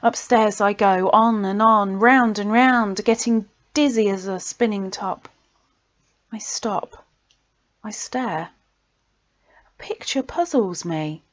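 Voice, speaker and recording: A woman reads poetry at a slow, deliberate pace. Her delivery is dramatic and expressive, with breathy pauses that sink toward whispers. The sound is slightly dull and lo-fi, like a home recording. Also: mid-range voice. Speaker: poet reading own poems.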